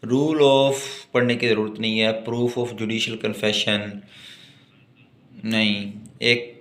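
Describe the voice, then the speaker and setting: A man with a Pakistani accent giving a law lecture, reading out legal text deliberately and then explaining it. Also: on the low side, steady tone, fairly bright tone. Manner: alternates slow deliberate reading with plainer explanation. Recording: echoey, reverberant room sound.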